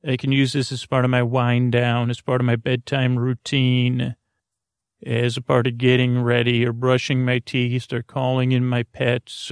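Guy with a gravelly creaky voice doing a rambly bedtime podcast, real dry close sound, keeps wandering off, gentle and reassuring. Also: medium pitch, no echo. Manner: halting, meandering, digressive, gently reassuring. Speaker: podcast host.

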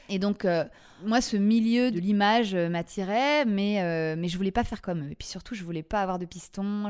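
A woman with a Parisian French accent telling her life story casually as an interview guest, often pausing to search for words. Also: fairly low pitch, darkish tone. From Paris, France. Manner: hesitant, halting, searching for words.

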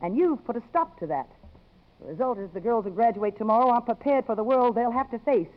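An actress playing a poised, principled, outspoken woman in a 1950s radio drama, measured and articulate. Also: a little high, lively intonation, natural voice. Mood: indignant, cool. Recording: muffled old radio broadcast.

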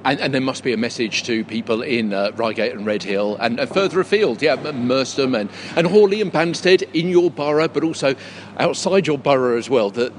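A man's voice: mid-range voice, expressive, a little fast. A British man conducting an on-location interview in a chatty, conversational manner. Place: inside a circus big top tent. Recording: noisy location recording inside big tent.

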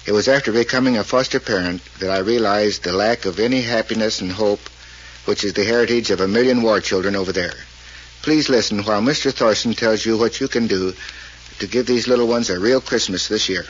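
A man's voice: lowish voice, brisk, dull audio, a little hiss or hum. A man speaking formally in a scripted 1940s radio appeal, on an old broadcast recording.

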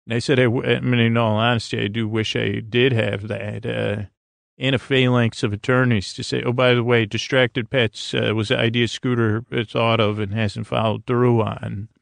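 A man with a very creaky, gravelly voice, recorded close and dry. He speaks as a podcast host lulling listeners to sleep, hesitating, stammering and drifting off into soothing, aimless tangents. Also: mid-range voice, flat delivery, moderate pace, dry recording. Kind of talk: rambling, meandering bedtime monologue to help listeners sleep.

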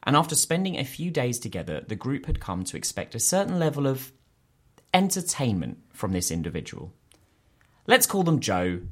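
A man hosting a podcast, reading a listener's letter aloud in an animated, quick storytelling way. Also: fairly low pitch. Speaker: podcast host.

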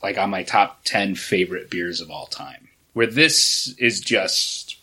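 A man guesting on a podcast, talking in halting, hesitant phrases. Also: sits low, bright, forceful tone. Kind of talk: casual podcast chat.

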